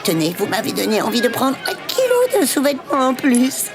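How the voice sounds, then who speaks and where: A woman's voice: sits high, huge pitch swings, a little fast, over music, exaggerated voice. A woman playing an effusive, polite lady customer in a fiction podcast, full of admiration. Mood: admiring. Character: gushing, admiring lady customer at a charity shop.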